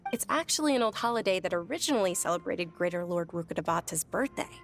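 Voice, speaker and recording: A woman playing a sincere, devout young noblewoman in a video game, speaking articulately and softly. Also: lowish voice, expressive, quick pace, faint music behind. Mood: earnest explaining. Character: earnest, devout young noblewoman recounting her childhood. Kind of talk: game dialogue and reflective narration.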